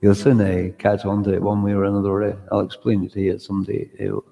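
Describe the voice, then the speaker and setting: A man from the north of Scotland with a Highland Scottish accent, chatting casually with livestream viewers in a rambling way full of "uh" fillers. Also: completely flat delivery, fast talker, creaky, dark tone, long reverb tail. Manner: rambling, lots of "uh" fillers, friendly asides. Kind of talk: casual chat with live viewers.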